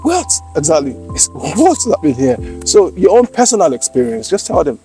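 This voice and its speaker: A man's voice: a little high, wildly swooping pitch, fairly quick, warm tone, soft background music. A man hosting an interview podcast, enthusiastic, full of affirmations and speaking directly to viewers. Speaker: podcast host.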